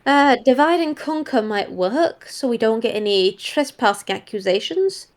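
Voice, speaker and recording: A woman's voice: a little high, expressive, moderate pace, lightly acted. A woman playing an eager, playful female monkey detective who mentors an apprentice and talks in folksy mild oaths. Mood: eager, musing.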